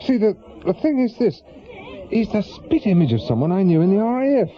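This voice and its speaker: An actor playing a cautious, seasoned private investigator with an English accent, speaking hesitantly and matter-of-factly in a radio drama. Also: male voice, sits high, big pitch swings, fairly quick, dull audio, a faint crowd. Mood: genial, feigning. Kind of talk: naturalistic British radio drama dialogue.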